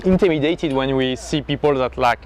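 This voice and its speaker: A man's voice: medium pitch, a little fast, a little hiss or hum, low-quality recording. A man interviewing a guest, summing up the answers and encouraging him in non-native English. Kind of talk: interview chat.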